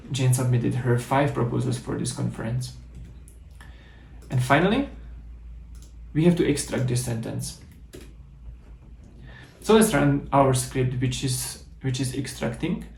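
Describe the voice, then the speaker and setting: A man's voice: mid-range voice, vocal fry, darkish tone, reverberant. A man with a Czech accent giving a methodical conference talk, walking through code in a live demo. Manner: explanatory, methodical, with restarts. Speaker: conference speaker.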